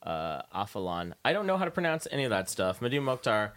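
A man's voice: lowish voice, sing-song, fast, a bit bright. A man hosting a casual interview show on the radio, chatting informally from a studio.

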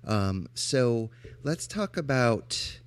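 A man's voice: medium pitch, sing-song, very slow, smooth. A man hosting a podcast interview, affirming his guest and asking questions with frequent ums. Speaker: podcast host.

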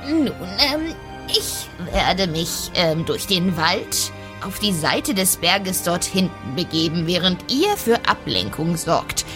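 A woman plays a calm, knowing dwarf aunt in an improvised fantasy audio play. Her instructions come slowly, with a very lively pitch. Clear music plays underneath. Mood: measured, knowing.

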